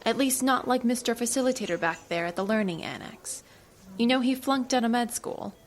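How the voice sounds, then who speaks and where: An actress playing a cool, evasive government agent in an audio drama, with a dry, teasing, knowing manner. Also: female voice, a little high, a little fast, natural voice. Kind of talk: naturalistic audio drama acting.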